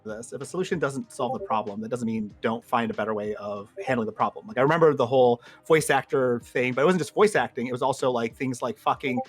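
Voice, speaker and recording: A man's voice: mid-range voice, expressive, fast talker, hardly any pauses, breathy edge, soft background music. A man on a casual online panel, responding directly and swearing casually. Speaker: panel guest.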